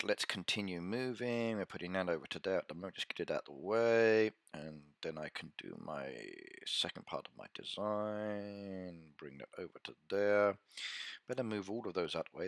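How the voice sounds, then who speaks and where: A man's voice: on the low side, sharp tone, no echo, studio quality. A middle-aged British man with a British accent streaming a mobile game live, chatting through a headset mic and reading out viewers' messages. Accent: British English. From Britain. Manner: chatty, reads out chat and answers viewers. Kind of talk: casual live-stream commentary.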